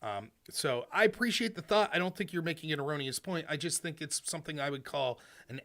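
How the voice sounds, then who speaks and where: A man from rural Pennsylvania hosting a call-in talk show, explaining in a relaxed, rambling way with fillers. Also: on the high side, a little fast. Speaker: show host.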